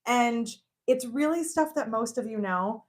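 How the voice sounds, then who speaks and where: An older woman hosting a casual live stream from her shop, chatty and quick to laugh at herself. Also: fairly high pitch. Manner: chatty, laughs often, self-teasing. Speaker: shop owner and show host. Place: indoor shop with renovation noise upstairs.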